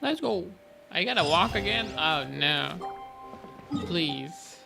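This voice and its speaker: A woman's voice: lowish voice, lively intonation, slow pace, creaky, over music. A woman streaming a video game with casual commentary, teasing and talking back to the game.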